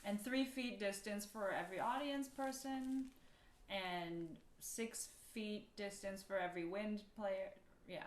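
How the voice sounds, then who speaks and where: A woman from Chicago in her thirties talks over a laptop video call, relaxed and loose, with lots of fillers. The room rings noticeably around her voice. A faint hiss sits underneath. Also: medium pitch, measured pace, reverberant. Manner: relaxed, laughs often, trails off with fillers. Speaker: violinist guest. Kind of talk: casual video-call interview. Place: home room, shared with a colleague.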